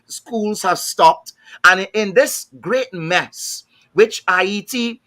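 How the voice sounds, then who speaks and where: A man's voice: high-pitched, extremely slow, bright, forceful tone. A man with a Trinidadian accent speaking on a panel discussion, thoughtful, often repeating words while he searches for the next thought. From Trinidad and Tobago. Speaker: panel guest.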